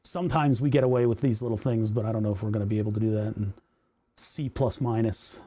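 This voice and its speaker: A man hosting a casual coding livestream, rambling and chatty with frequent laughs, his voice starting to go hoarse. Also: fairly low pitch, steady tone, fast talker, warm tone. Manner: rambling, chatty, laughs often, reads chat aloud. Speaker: livestream host.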